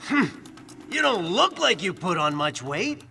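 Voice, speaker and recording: A man's voice: high voice, big pitch swings, measured pace, creaky, sharp tone, slight background noise, full character voice. An actor playing a cheeky young male superhero who talks in flippant, sarcastic quips in a video game. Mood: puzzled, teasing. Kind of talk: video game cutscene acting.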